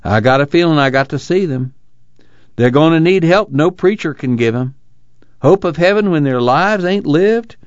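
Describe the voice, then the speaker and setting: A man's voice: a little high, animated, moderate pace, dry recording, muffled recording, pitched above the performer's own voice, close to an everyday voice. A man voicing an earnest, compassionate rural former preacher with solemn, emphatic folksy speech in an audiobook novel. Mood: earnest, urgent.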